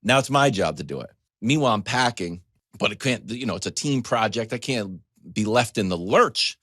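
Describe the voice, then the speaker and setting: A man in his forties, a sports talk host, telling a comedic story in a casual, animated way with choppy asides and acted-out quotes. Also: lowish voice, moderate pace, dry recording, studio quality. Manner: animated, acts out quotes in dialogue.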